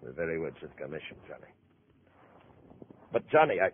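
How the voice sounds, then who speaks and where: A man's voice: extremely low pitch, sing-song, moderate pace, full character voice. An actor playing a proud, troubled middle-aged beat policeman in a 1950s radio drama, brooding and folksy in his speech. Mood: agitated, bitter. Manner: brooding, folksy turns of phrase. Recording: muffled, noisy 1950s radio recording.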